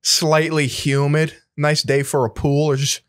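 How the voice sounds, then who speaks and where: A man's voice: a little high, level tone, a little slow, dry recording. A man co-hosting a podcast in casual conversation, laid-back, explaining at length and often repeating words. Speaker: podcast co-host.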